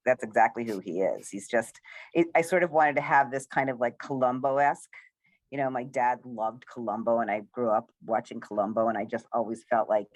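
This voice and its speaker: A woman's voice: a bass voice, dry recording, low-quality recording. Dry-sounding woman screenwriter in casual Q&A. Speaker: screenwriter answering questions. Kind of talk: casual post-reading Q&A talk.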